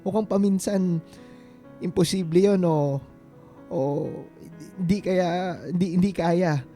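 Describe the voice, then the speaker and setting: A devoted, vulnerable Filipino man in a radio romance drama. His voice is soft-edged and mellow, pitched a little high for a man. He speaks haltingly and earnestly, with feeling, over faint music. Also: steady tone. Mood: pained, confessing. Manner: halting, earnest, emotional delivery. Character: devoted, vulnerable man confessing love to his reunited sweetheart.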